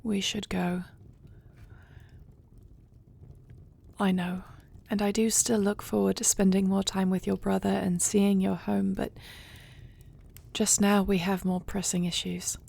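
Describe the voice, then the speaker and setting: Woman doing a noble warrior queen for fantasy audio rp, breathy and husky, earnest, pretty even pitch. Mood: gentle, purposeful. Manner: earnest, measured, formal phrasing. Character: resolute, noble dragon-shifter warrior queen, tender with her beloved. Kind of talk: fantasy audio roleplay acting.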